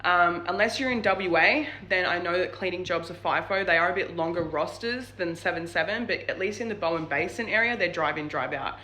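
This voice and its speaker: Young Australian woman vlogger, bright forceful tone, rambling chatty delivery. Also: lowish voice, a little fast, few pauses. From New South Wales, Australia. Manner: chatty, lots of "like" and "um" fillers. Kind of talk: casual advice vlog.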